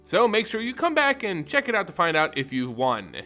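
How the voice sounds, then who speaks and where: A man's voice: high voice, sing-song, brisk, sharp tone, soft background music. A man hosting a fantasy lore channel, delivering scripted narration and commentary.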